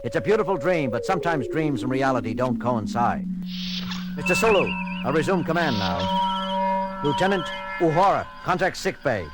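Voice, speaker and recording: Commanding starship captain played by an actor, music behind. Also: male voice, medium pitch, very animated, moderate pace, lightly acted, poor audio. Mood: firm, commanding. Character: commanding male starship captain, firm, dryly wry. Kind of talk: science-fiction audio drama acting. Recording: loud music bed under dialogue.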